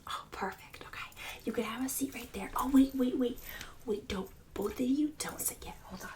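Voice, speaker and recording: A woman's voice: a little high, normal speed, darkish tone, distant mic, voice pushed up, close to an everyday voice. A woman playing a pushy, boastful suburban mother in an ASMR roleplay, condescending and fake-sweet, often dropping into a whisper. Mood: fake-sweet, snide. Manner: condescending, fake-sweet, snide, passive-aggressive.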